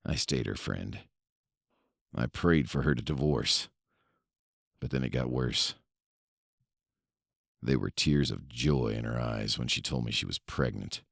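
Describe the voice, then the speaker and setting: A man playing an embittered, obsessed and trapped kidnapper in a horror audio story, with a brooding, menacing and deliberate delivery. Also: a bass voice, vocal fry, a bit bright, husky, no echo, studio quality, pitched below the performer's own voice, full character voice. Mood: bitter. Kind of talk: first-person horror audio story monologue.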